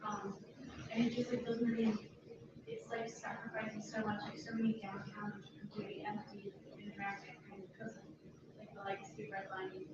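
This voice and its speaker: A woman's voice: mid-range voice, monotone, brisk, very noisy. A young woman in a group asking a question and adding her thoughts, hesitant and full of "like" fillers. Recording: distant, echoey, muffled with loud ambience.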